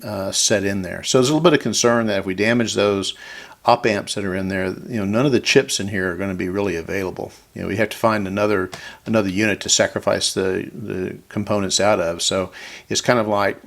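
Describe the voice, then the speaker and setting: A man's voice: fairly low pitch, fairly even intonation, fairly quick, gravelly, a bit bright. A man talking casually and conversationally in a folksy way as he hosts a hands-on electronics repair demonstration. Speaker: host of electronics repair videos.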